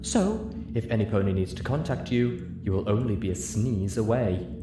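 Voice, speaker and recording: A regal older pony princess as a man reads the part, stately and gently affectionate with a teasing side. It is a storybook performance, recorded in an echoey room with clear noise. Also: fairly low pitch, animated, normal speed, close to an everyday voice. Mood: reassuring.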